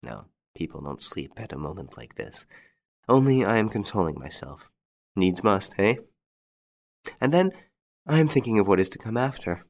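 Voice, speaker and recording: Cool, wry rogue mocking his killers, read by a male narrator, low-ish voice with a lively lilt. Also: moderate pace, vocal fry, pauses often. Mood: dry calm. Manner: calm, dry, mocking. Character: wry, unflappable gentleman thief, male adult.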